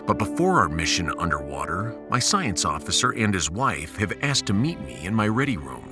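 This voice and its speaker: A man's voice: low-pitched, very animated, normal speed, music in the background, voice pushed down. A man playing a bold, sarcastic starship captain in a science fiction audio drama, with a dramatic and wry delivery. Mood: solemn, dramatic.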